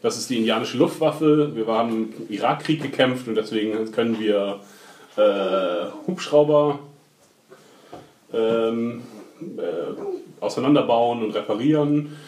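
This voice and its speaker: A man's voice: mid-range voice, a little slow, darkish tone. Wry man in an echoey room. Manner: wry, ironic, retells scenes in mock quotes. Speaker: podcast co-host. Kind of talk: casual TV recap chat. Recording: echoey room with faint noise.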